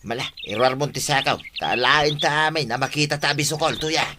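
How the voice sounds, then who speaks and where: A man's voice: fairly high pitch, a little fast, background noise, close to an everyday voice. An actor with an Ilocano Filipino accent playing a pushy, scheming male snail vendor in a comedy radio drama.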